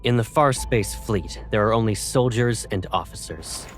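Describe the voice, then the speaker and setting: Low-voiced, coldly commanding young colonel played by a man. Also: faint music behind, natural voice. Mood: stern, controlled. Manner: measured, controlled, authoritative. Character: cold, commanding young male space-fleet colonel, quietly menacing. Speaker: voice actor in a game cutscene. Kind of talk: dramatic game voice acting.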